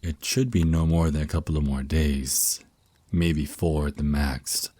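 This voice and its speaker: Man playing a very deep, husky vampire naga boyfriend. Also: fairly even intonation, dark tone, dry recording. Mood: reassuring, playful. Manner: slow, soothing, teasing endearments. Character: centuries-old male vampire naga boyfriend, tender mentor. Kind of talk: ASMR roleplay, intimate monologue.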